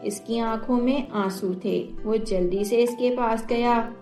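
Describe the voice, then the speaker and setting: Woman narrating a folk story, really unhurried, highish voice, echoey room w music underneath. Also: steady tone. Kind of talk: scripted narration of Urdu moral tales. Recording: roomy echo, music underneath. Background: soft music.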